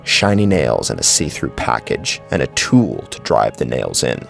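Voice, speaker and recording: A man's voice: a bass voice, sing-song, normal speed, gravelly, fairly bright tone. A man narrating a scripted horror story as a brooding, dramatic storyteller. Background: faint music.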